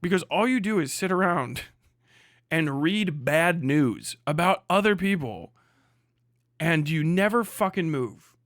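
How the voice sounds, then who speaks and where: A man's voice: on the high side, expressive, a little slow, a bit bright, dry recording, clean studio sound. A man on a casual livestream rant, blunt and hectoring, swearing heavily as he speaks. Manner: mocks others in put-on voices. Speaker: livestream host.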